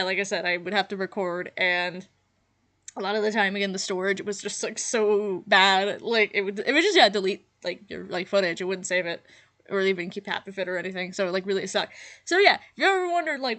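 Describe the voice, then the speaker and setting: A woman's voice: mid-range voice, brisk, fairly bright tone. A young adult woman hosting her own channel, chatting casually in a reaction video. She has just woken up, so her voice is nasal, and she rambles with lots of fillers and laughs at herself. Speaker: channel host.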